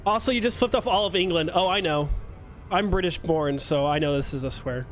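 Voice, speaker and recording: Young male streamer, high voice, playful self-mocking banter with chat, faint game music underneath. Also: fairly quick. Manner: joking, self-mocking, chatting with viewers. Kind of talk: casual live-stream chat while playing.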